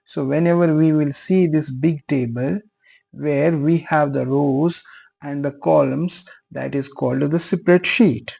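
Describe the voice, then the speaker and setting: Slow, gravelly, husky-voiced man teaching. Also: on the high side, fairly even intonation, dark tone. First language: Urdu. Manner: earnest, repeats phrases, many fillers. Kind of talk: explaining a tutorial.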